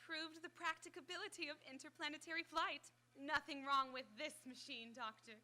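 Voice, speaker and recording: A woman's voice: high-pitched, steady tone, moderate pace, pushed and bright. A woman playing an eager, admiring young companion to a scientist, earnest and inquisitive, in old-time radio drama style. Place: live on an auditorium stage.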